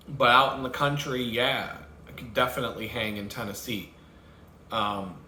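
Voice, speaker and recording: A man's voice: mid-range voice, pushed and bright. A man hosting his own video, talking in a rambling, profane rant, swearing heavily and laughing at his own jokes. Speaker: vlogger host. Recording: roomy with faint background noise.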